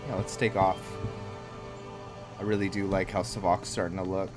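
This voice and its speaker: A man's voice: low-pitched, level tone, normal speed. A man giving casual gameplay commentary as host of a roleplay series, thinking aloud in a rambling, musing way that often trails off. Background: game music.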